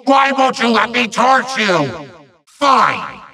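A man voicing a bombastic, petulant little evil sorcerer from a video game, ranting and gloating in hammy, drawn-out threats with a gravelly, sharp voice. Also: very high voice, sing-song, distant mic, exaggerated voice. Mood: gloating, commanding. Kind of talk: theatrical video game villain monologue.

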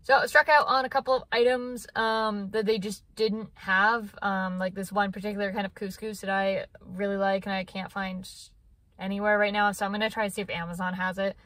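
A woman's voice: mid-range voice, flat delivery, fairly quick, a bit bright, no room sound. A woman in her thirties chatting casually to a phone camera from her parked car, rambling with lots of fillers. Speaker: vlogger. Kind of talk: casual vlog chat. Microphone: phone camera at arm's length.

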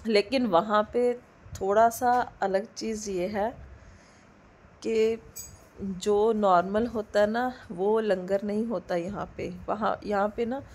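A woman in her thirties with a hoarse throat from a cold, chatting loosely in a casual vlog voice-over from home and mixing in English words. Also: medium pitch, a little mellow. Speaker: vlogger. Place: indoors at home, recording the voice-over. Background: a dog barking now and then.

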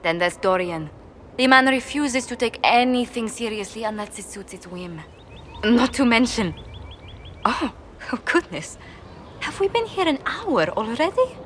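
An actress playing a refined, busy diplomat in a fantasy video game, speaking in a proper, articulate and courteous way. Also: female voice, a little high, very animated, measured pace, slight background noise. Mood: fussy, exasperated. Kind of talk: video game dialogue acting.

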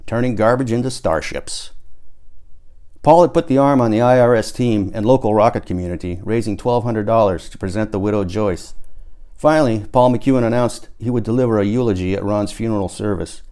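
A man's voice: fairly low pitch, a little fast, dark tone. A man narrating his own book as an author, in a theatrical audiobook style. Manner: acts out dialogue with emphatic swearing and outbursts.

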